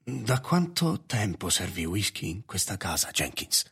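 A man playing a regretful old patriarch of eighty-six in a radio play, halting and wistful. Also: deep voice, sing-song, gravelly, a bit bright, husky, exaggerated voice. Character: brooding, regretful 86-year-old patriarch in a wheelchair. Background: prominent music.

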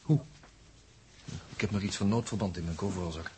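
A man's voice: sits low, brisk, natural voice. An actor playing a stressed young spaceman in a 1960s radio drama, hesitant and urgent, repeating himself as a reluctant amateur surgeon. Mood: tense, hesitant. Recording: faintly noisy 1960s radio recording.

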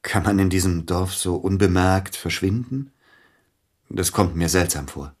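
A very low, husky male voice for a radio play: a widowed concert pianist haunted by guilt. He speaks formally and haltingly, pressing insistently for answers. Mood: insistent, probing. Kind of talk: German radio drama acting.

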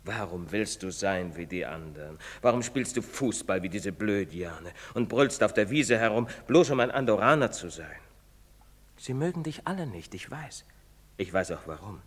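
An actor playing a priest who urges a young man fervently, then confesses in hushed whispers. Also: on the low side, lively intonation, normal speed. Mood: urging. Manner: preaching, insistent, dropping to guilty whispers. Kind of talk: radio play acting. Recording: long reverberant studio echo.

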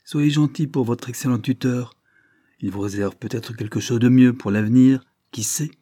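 A woman's voice: a bass voice, slow pace, no room sound, clean studio sound, heavily acted. A man voicing a mocking, spiteful young society lady in an audiobook, teasing in a sneering tone. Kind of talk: audiobook character reading.

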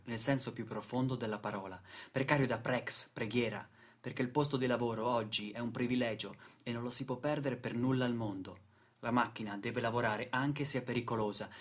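A man delivering a scripted radio theatre monologue in a solemn, rhetorical, declamatory manner. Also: medium pitch. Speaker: stage actor and narrator. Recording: music playing behind the voice. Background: music.

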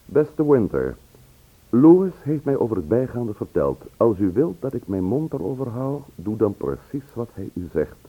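A man acting in a Dutch radio play as a composed, probing gentleman investigator who speaks with measured care. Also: mid-range voice, very animated, slightly rough. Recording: muffled narrow-band old radio recording.

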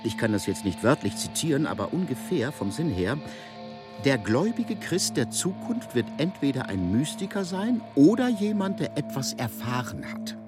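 A man's voice: mid-range voice, sing-song, normal speed, music in the background, close to an everyday voice. A man playing a digressive, musing speaker who quotes from memory in a radio play. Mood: musing, emphatic.

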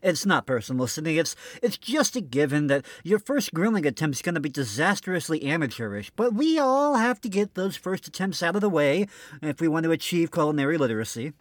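Male radio host, slightly high voice, very animated, comic stammering banter. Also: a little fast, dry recording. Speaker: host of an old-time radio show. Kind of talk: scripted comic banter between hosts.

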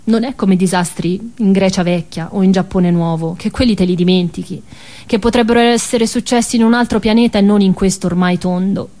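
A woman's voice: medium pitch, a little fast, dark tone. A woman with a Tuscan accent performing a narrative stage monologue in a colloquial, ironic storytelling manner.

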